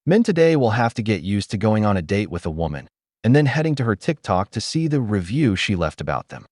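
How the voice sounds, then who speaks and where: A man with a low voice gives critical, opinionated voice-over commentary. His pitch rises and falls a lot. The recording is dry and close. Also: big pitch swings, fairly quick, a little mellow, no echo.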